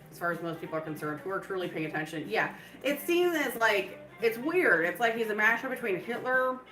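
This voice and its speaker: A woman's voice: mid-range voice, expressive, brisk, fairly bright tone, roomy sound, soft background music, poor audio. A woman hosting a live stream, talking in a loose, rambling way full of fillers. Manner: breaks into laughter. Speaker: livestream host.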